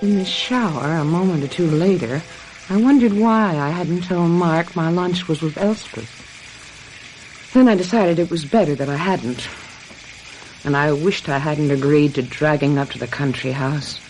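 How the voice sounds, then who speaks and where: A woman's voice: low-pitched, normal speed, darkish tone, a little hiss or hum, natural voice, low-quality recording. A woman playing a trusting, easily swayed wife in a radio mystery drama, yielding and languid in manner. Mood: wistful, confiding. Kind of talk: radio mystery drama acting with confiding narration.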